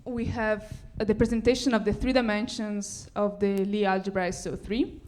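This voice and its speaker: A woman's voice: medium pitch, flat delivery, normal speed, a little mellow. Woman hesitantly presenting her musical work. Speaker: composer presenting own work. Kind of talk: live lecture-recital explanation.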